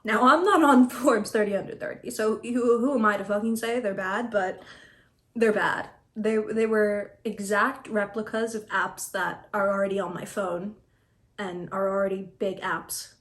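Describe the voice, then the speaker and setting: A young woman in her twenties talking in a casual vlog, dry and deadpan, griping with stammered restarts. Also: medium pitch, a little slow. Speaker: vlogger. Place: apartment room. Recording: echoey room, mic at a distance.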